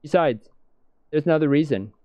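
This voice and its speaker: A man's voice: fairly high pitch, animated, moderate pace, dark tone. A man reading visual novel narration aloud, as in a let's-play. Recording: dull lo-fi sound, music behind.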